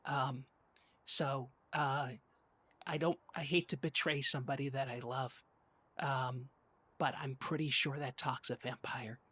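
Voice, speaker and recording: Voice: mid-range voice, fairly even intonation, a little slow, pushed and bright, breathy edge. A performer playing an anxious, prim podcast co-host who stammers and hesitates through comedy banter. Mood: flustered, agitated.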